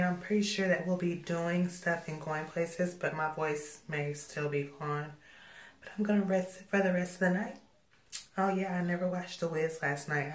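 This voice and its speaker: A woman in her twenties with a hoarse voice she is losing, chatting casually and rambling with lots of fillers as she vlogs to a phone camera at arm's length. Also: low voice. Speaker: vlogger. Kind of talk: casual vlog chat. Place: parked car, then a bedroom.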